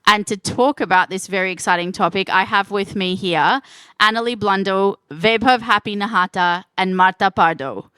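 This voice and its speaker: A woman's voice: medium pitch, normal speed, bright, forceful tone, clear, resonant tone, no room sound. A woman hosting a live panel at a convention, upbeat and enthusiastic as she introduces guests and asks questions. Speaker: panel host and moderator. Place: convention stage.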